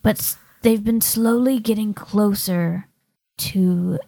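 A woman's voice: medium pitch, fairly even intonation, slow, vocal fry, warm tone, no echo, low-quality recording. A woman as game master, improvising narration and dialogue for a tabletop game in a hesitant, matter-of-fact way.

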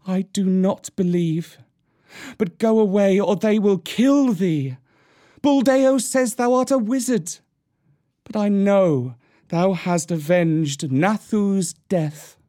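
Man as a high, pleading village mother. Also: slow pace, dark tone. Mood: anguished pleading. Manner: pleading, archaic phrasing. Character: tearful, loving village mother pleading with son. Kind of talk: storybook character voice.

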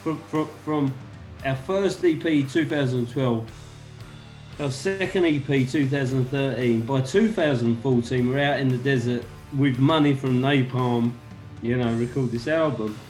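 Band guest, male, from England, lively anecdotal telling over faint background music. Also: mid-range voice, a little slow, rough recording. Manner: animated anecdotes, quotes others. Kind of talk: casual interview storytelling.

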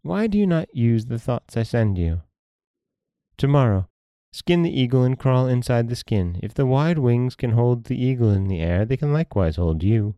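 A man voicing a boy's mother in a dream, gently scolding and instructing, in a storybook tale. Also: mid-range voice, expressive, normal speed, dry recording, muffled recording, pitched above the performer's own voice, close to an everyday voice. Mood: scolding, instructive. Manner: instructive, admonishing. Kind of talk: storybook character voice.

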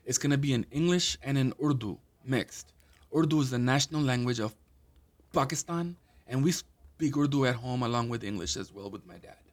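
A man's voice: medium pitch, moderate pace. Chatty man interviewing his father. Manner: chatty, friendly questioning, switches into Urdu. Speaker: podcast host. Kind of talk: casual interview.

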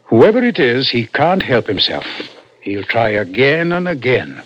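An actor playing an authoritative, grimly certain senior police detective in a 1960s radio crime drama. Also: male voice, medium pitch, very animated, a little slow, a bit bright. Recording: dull old radio broadcast.

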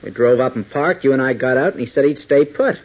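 Guy playing a breezy american reporter from a 40s radio show, lots of slang, raspy edge, dull old recording. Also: mid-range voice, brisk, close to an everyday voice. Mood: earnest, insistent. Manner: slangy, wisecracking. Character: wisecracking, slangy male newspaper reporter, dogged and breezy. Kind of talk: 1940s radio serial acting. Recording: muffled vintage 1940s radio recording.

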